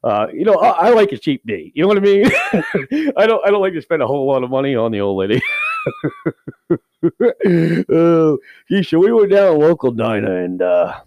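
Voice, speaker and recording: A man's voice: fairly high pitch, sing-song, normal speed, long pauses, muffled recording, voice pushed up. A man playing a rambling, cheapskate firefighter who tells crude stories and keeps cracking himself up. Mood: rowdy. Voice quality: crude, giggly, rambling storyteller. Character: rambling, cheapskate middle-aged male firefighter. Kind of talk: improvised character comedy.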